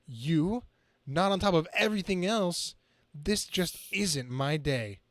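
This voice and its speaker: Guy reading as young comic book superhero, quippy but kinda whiny about his bad luck, highish voice. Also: animated, slow pace, no room sound, studio quality. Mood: anxious, fretful. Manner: quippy, self-pitying, dramatic reading from a page.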